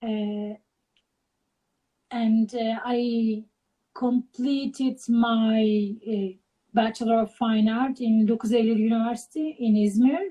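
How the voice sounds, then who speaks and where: Turkish-accented woman guest, middle-aged, slow and halting, pitched a touch high. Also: fairly even intonation, dark tone, rough recording. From Turkey. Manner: slow, halting, searching for words. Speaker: guest artist. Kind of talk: interview over a live video call.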